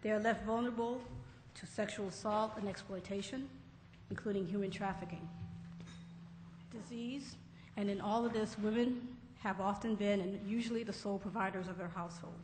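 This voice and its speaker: A woman's voice: medium pitch, steady tone, moderate pace. A woman moderator formally introducing a conference session, reading from prepared remarks. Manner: formal, read-aloud. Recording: roomy echoey hall with faint noise.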